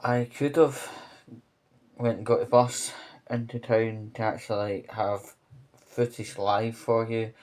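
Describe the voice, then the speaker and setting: Man, Glaswegian accent, unhurried pace, little pitch movement, earnest, halting, informal vlog update. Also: flat delivery, darkish tone. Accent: Scottish, Glasgow area. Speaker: vlogger. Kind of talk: informal spoken news update to camera. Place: home kitchen.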